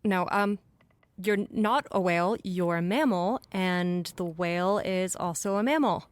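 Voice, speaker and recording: A woman's voice: on the low side, unhurried, clear, resonant tone, lightly acted. A woman playing a forthright, logical scientist in an audio drama, casual and sardonic as she explains things. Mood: patient explaining. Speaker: actor.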